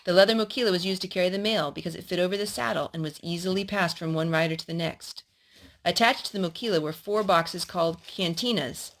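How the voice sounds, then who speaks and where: A woman's voice: on the low side, fast talker. A woman storyteller reading a historical children's story aloud to a young listener.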